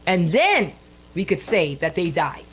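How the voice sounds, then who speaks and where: A woman's voice: on the low side, big pitch swings, measured pace, slightly rough. A woman co-hosting a radio talk show in casual, opinionated banter, speaking emphatically in colloquial phrasing and often repeating her points.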